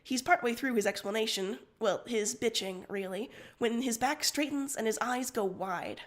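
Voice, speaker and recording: A woman reading fan fiction aloud on a livestream in an expressive, informal way. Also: fairly high pitch, moderate pace. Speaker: story reader on a livestream.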